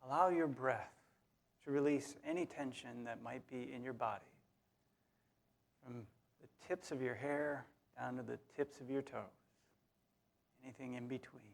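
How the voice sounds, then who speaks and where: A man guides a meditation in a gravelly, creaky voice at a mid-range pitch. He speaks very slowly and soothingly, setting short phrases apart with long, unhurried pauses. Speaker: meditation leader.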